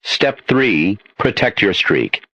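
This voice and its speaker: A man delivering a formal, scripted emergency broadcast as an announcer, in a stern, measured official manner. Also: on the low side, lively intonation, sharp tone, no echo, dull audio.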